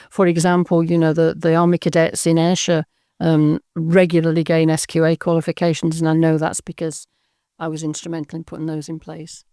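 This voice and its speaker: Soft-spoken older woman from Birmingham. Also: fairly low pitch, clean tone, no echo, studio quality. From England, Birmingham. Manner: soft-spoken, thoughtful, with frequent ums and repeated words. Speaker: guest, audio drama writer and director. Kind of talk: casual interview chat.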